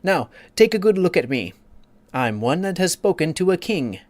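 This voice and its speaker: A man's voice: sits high, sing-song, no echo, voice pushed up. A man voicing a pompous, easily offended egg creature who lectures and takes offense. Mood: indignant. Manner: haughty, quick to take offense, lecturing.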